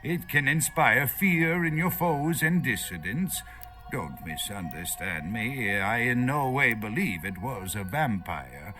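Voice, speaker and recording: Game role: nervous captive archaeologist, bright lively voice, learned and hesitant, explains like a lecturer. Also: male voice, medium pitch, takes it slowly, faint music behind. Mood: scholarly, animated. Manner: lecturing, hesitant, searching for words. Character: nervous, erudite male foreign archaeologist held captive. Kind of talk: video game voice acting.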